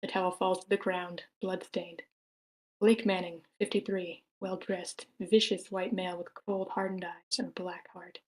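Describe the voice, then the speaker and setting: A woman's voice: lowish voice, level tone, normal speed, low-quality recording. A woman reading stage directions as the narrator of a screenplay table read.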